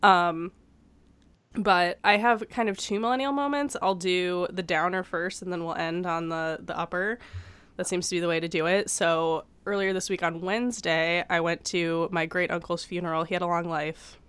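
A young woman in her twenties chats on a podcast while getting over a cold. Her voice sits a little low and comes through smooth and clear. She rambles along with plenty of fillers, close to the mic with a dry sound. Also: fairly even intonation, normal speed, a bit bright, no echo. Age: 20s. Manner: chatty, many fillers. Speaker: podcast co-host. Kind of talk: casual chat. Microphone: studio microphone.

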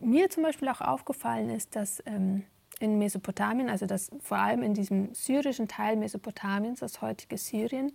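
Woman interview guest, husky with some vocal fry, reflective and hesitant, informal conversational delivery. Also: a little high, darkish tone. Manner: thoughtful, hesitant, searching for words. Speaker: interview guest, theatre performer.

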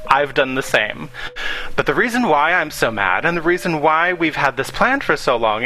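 A man's voice: medium pitch, sharp tone, rough recording. A man voicing a hurt, petty young boyfriend who speaks in a clipped, pointed way.

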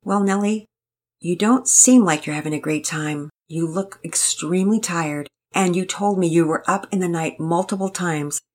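A gentle, earnest mother giving calm counsel, read by a woman. The voice is low-pitched and a little husky, and it speaks steadily. It has a patient, guiding manner. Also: normal speed, natural voice. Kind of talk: storybook reading.

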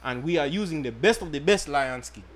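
A man's voice: on the high side, expressive, a little fast, heavy background noise, lightly acted. A man putting on a pompous, mock-formal West African salesman voice for a joke condom ad on a podcast. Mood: salesman pitch. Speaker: podcast co-host.

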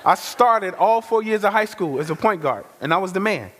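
A man giving a lively, conversational testimony sermon as a guest speaker, full of slang. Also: sits high, normal speed. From Chicago. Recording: reverberant hall.

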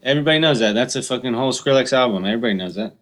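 A man's voice: mid-range voice, racing, a bit bright. Male podcast host, mid-range voice, casual, sweary, slangy, repetitive for emphasis. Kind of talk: casual interview chat.

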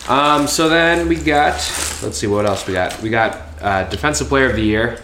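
A man co-hosting a casual sports podcast, relaxed and jokey, talking from inside a house. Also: mid-range voice, normal speed. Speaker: podcast co-host. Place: house, indoors. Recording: echoey room with faint noise.

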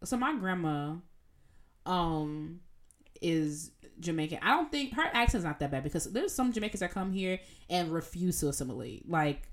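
A young adult woman chatting casually as a student co-host, telling stories in an animated, informal way with quoted asides. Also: lowish voice, moderate pace. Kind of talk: casual chat.

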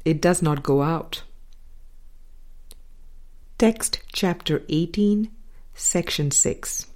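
A woman reading a spiritual book aloud as scripted narration, in measured, deliberate phrases with pauses. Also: sits low, a little mellow. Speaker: audiobook reader.

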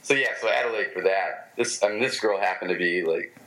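A man with a Michigan American accent talks in a notably low voice. He is a former professional baseball player looking back on his career in a relaxed interview, and he often pauses, restarts and fills gaps with "um.". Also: low-quality recording. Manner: halting, many fillers and restarts. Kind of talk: casual interview storytelling.

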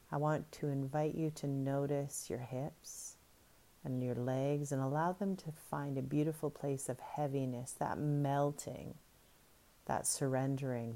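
Woman, meditation guide, soft soothing delivery, very slow pace, dark mellow tone. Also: extremely low pitch.

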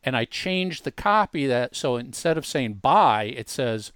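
A man presents an informal online tutorial as host. He explains each step in a casual, conversational way, with a mid-pitched voice. The sound is dry, with no room to it. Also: lively intonation, measured pace, a bit bright, clear, resonant tone, dry recording. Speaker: host demonstrating a music marketing tool. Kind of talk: casual screen-share tutorial.

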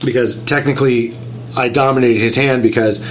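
A man's voice: mid-range voice, steady tone, brisk, a little hiss or hum, low-quality recording. A man getting over a cold, sounding nasal and a little whiny, talking through a story in casual chat and explaining things step by step. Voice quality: slightly whiny.

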